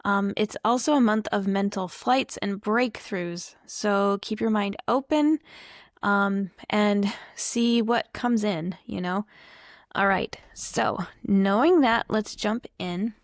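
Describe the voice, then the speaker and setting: A slightly hoarse woman giving a casual tarot reading to camera, chatty and reflective, thinking aloud as she goes. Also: mid-range voice, slow, dry recording, clean studio sound. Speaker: tarot reader.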